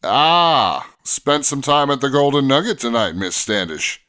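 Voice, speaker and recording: A man's voice: mid-range voice, big pitch swings, measured pace, bright, forceful tone, clear, resonant tone. A man playing a kindly, upright Mountie sergeant, earnest and courteous in an old-fashioned way, in radio adventure style.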